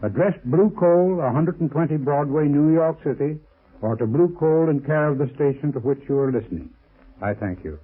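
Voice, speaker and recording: Male sponsor spokesman, old-time radio; deliberate delivery, rough-edged voice, very narrow lo-fi sound. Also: a little high, expressive. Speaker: heating expert sponsor spokesman. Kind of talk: 1930s radio advertising pitch.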